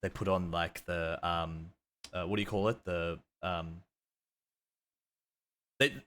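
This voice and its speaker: A man's voice: low voice, fairly even intonation, slow pace, a bit bright, a little hiss or hum. A man hosting a casual interview, mostly giving short agreeable replies. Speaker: streamer host.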